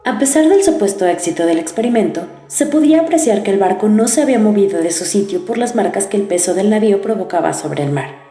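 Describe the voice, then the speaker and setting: Woman, scripted narration, mellow dark voice, roomy echo, faint music. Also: mid-range voice, lively intonation, quick pace.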